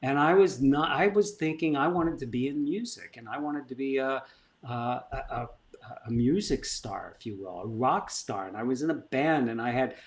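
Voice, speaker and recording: A middle-aged man, a performance coach, telling stories in an animated, emphatic way and acting out bits of dialogue. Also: medium pitch, moderate pace. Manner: animated, emphatic storyteller who acts out dialogue. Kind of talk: conversational storytelling.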